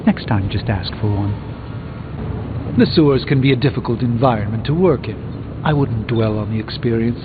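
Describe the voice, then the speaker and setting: An actor playing an erudite, pompous sorcerer with a dry, articulate wit in a video game. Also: male voice, medium pitch, normal speed, lightly acted. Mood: businesslike. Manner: pompous, dryly witty, articulate. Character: erudite, haughty, wry male sorcerer. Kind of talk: video game character dialogue. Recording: muffled phone-like game audio under music.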